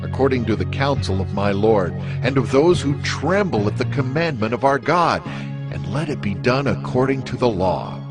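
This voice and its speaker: A man's voice: mid-range voice, close to an everyday voice. An actor playing an earnest, resolute Israelite man in a dramatized scripture reading, solemn and exhorting. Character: earnest, resolute male Israelite urging his leader.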